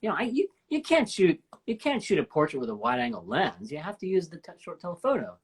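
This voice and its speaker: A woman in her fifties with a deep voice, hosting a casual live-stream chat and telling stories with enthusiasm. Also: big pitch swings, fast talker, husky. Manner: enthusiastic, rambling storyteller. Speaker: host. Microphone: live stream from home.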